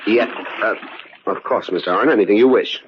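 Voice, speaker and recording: An actor playing an outwardly obedient but coldly murderous hired man in an old radio drama, measured and deferential with menace underneath. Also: fairly low pitch, natural voice. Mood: deferential, guarded. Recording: muffled, faintly noisy old radio recording.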